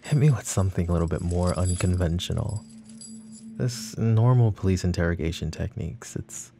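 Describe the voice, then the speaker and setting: A man's voice: low voice, normal speed, gravelly, dark tone, slight background noise. A man playing a smug, flirty, possessive mafia boss in an ASMR roleplay, speaking in a teasing, mocking, intimate drawl.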